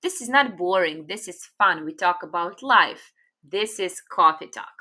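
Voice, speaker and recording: Young Serbian-native woman, bright tone, patient English teacher full of ums. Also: on the low side, lively intonation. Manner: patient, explains slowly with many fillers. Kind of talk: casual online grammar lesson.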